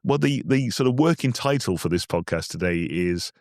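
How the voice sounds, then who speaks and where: A man hosting a business podcast, chatty and a little self-deprecating, his voice slightly croaky from a cold. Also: lowish voice, lively intonation, normal speed, no room sound, clean studio sound. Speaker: podcast host. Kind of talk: casual podcast chat.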